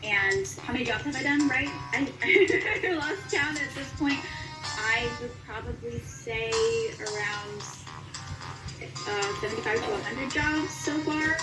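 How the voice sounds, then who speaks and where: A woman's voice: fairly high pitch, animated, a little slow. A woman being interviewed as a voice actor, chatting casually as she answers questions. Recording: echoey, distant mic, music behind.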